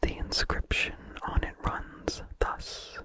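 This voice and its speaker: An ASMR host reading in a breathy, unvoiced whisper, slowly and calmly, with careful enunciation. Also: dry recording. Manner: slow, calm, careful enunciation. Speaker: ASMR host and story reader.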